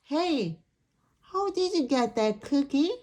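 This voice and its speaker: A woman's voice: high-pitched, animated, slow, darkish tone, pitched above the performer's own voice. Woman voicing an indignant, whiny pigeon, very drawn out. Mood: suspicious. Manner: whiny, emphatic, repetitive. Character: indignant, dramatic pigeon who complains and pleads. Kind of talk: picture book read-aloud character.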